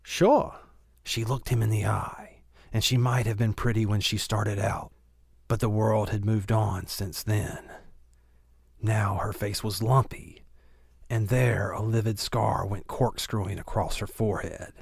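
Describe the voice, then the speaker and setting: A man's voice: lowish voice, fairly even intonation, measured pace, creaky, slightly rough, no room sound, clean studio sound. A man narrating a novel as a scripted audiobook reading.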